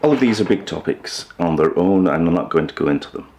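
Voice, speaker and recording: A man presenting an informal explainer video in a measured, matter-of-fact way. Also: low voice, expressive, gravelly, a little mellow, a little hiss or hum. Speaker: host of a hi-fi upgrade video channel.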